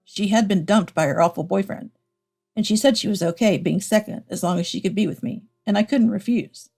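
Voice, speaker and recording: A woman's voice: mid-range voice, a little fast, vocal fry, a little mellow, faint music behind. A woman voicing an evasive, self-justifying cheating husband in a dramatized story. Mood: sheepish, stubborn.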